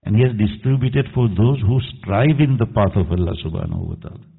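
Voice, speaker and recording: A man's voice: on the low side, fairly quick, vocal fry, breathy edge. A man preaching an earnest, admonishing sermon as imam, quoting Arabic verses. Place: mosque hall. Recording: tinny echoey hall recording.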